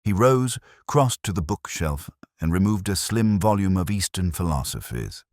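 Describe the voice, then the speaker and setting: Very deep-voiced man narrating a story. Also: expressive, moderate pace, a little mellow, dry recording, studio quality. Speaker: audiobook narrator.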